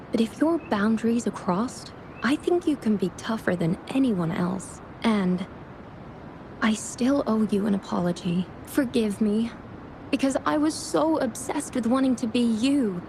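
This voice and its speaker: A woman playing a wistful, remorseful rival painter in a video game, confessional and self-deprecating. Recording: clear rumbling background noise.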